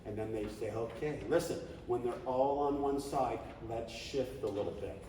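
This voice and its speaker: A man's voice: medium pitch, normal speed, a little hiss or hum. Man coaching in an echoey hall. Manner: animated, conversational, shouts coaching calls. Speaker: flag football coach. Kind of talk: coaching clinic talk. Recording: echoey hall, distant mic.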